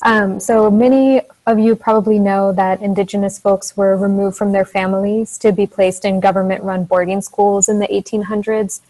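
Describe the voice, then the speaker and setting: A soft-spoken young woman, a teacher, giving an informal educational presentation over a video call. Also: medium pitch, level tone, normal speed, few pauses, low-quality recording. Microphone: earphone mic on a video call.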